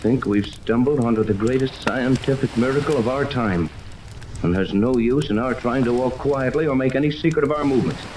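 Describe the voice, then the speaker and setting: An actor playing a commanding, level-headed yacht captain in a 1930s radio serial, speaking with deliberate authority. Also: male voice, mid-range voice, natural voice. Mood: grave, deliberate. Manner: authoritative, deliberate, gives orders. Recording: noisy 1930s radio, rain ambience over it.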